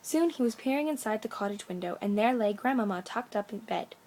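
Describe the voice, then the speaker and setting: A woman's voice: mid-range voice, lively intonation, fairly quick, darkish tone, a little hiss or hum. A woman storyteller reading a children's bedtime story aloud with expression.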